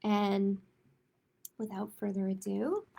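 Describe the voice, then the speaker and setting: A woman hosting a children's storytime, reading a picture book aloud and chatting casually, with an expressive, emphatic delivery. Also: mid-range voice, slow, gravelly, breathy edge.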